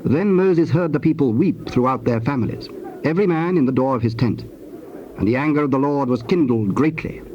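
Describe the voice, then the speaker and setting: A man narrating dramatized scripture in archaic English in a measured, formal manner. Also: mid-range voice, dark tone. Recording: dull, very noisy old tape.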